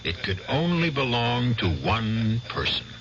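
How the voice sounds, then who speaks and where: A man giving scripted dramatic narration for a radio mystery, measured and ominous. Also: mid-range voice, sing-song, crowd noise. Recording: dull lo-fi 1970s radio broadcast with music.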